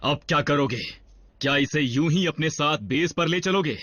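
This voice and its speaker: A man voicing a cautious, grumbling robot medic in an animated series dub, with a sarcastic, wary manner. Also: a little high, moderate pace, highs cut off. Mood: dry, sceptical.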